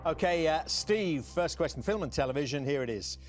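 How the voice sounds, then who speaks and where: A man hosting a television quiz show, brisk and jokey with the contestants. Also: on the high side, lively intonation, over music. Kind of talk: scripted quiz presenting.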